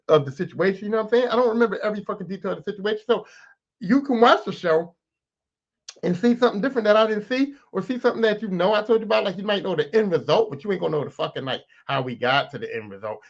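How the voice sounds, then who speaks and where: A man hosting his own podcast, raspy this morning, talking casually and with plenty of swearing straight to his listeners. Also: high-pitched, highs cut off. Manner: profane, animated, talks straight to listeners. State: raspy, clearing throat. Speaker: podcast host. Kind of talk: casual solo podcast rant.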